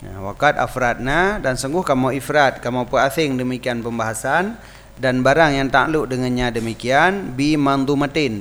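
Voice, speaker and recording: A man's voice: mid-range voice, a bit bright. Acehnese male teacher in a reverberant space. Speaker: Islamic teacher. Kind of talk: religious text lesson, reading aloud and explaining. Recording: reverberant hall-like echo.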